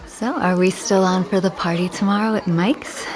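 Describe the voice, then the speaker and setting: A woman's voice: lowish voice, fairly even intonation, moderate pace, music in the background, lightly acted. Woman playing a friendly teenage girl. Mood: hopeful, let down. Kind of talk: naturalistic audio drama acting.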